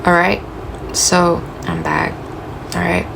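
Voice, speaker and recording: A woman with a gravelly voice giving a casual, reflective self-help talk, full of "you know" and "like", her voice cracking as she loses it. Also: lowish voice, little pitch movement, slow pace, background noise. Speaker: motivational speaker.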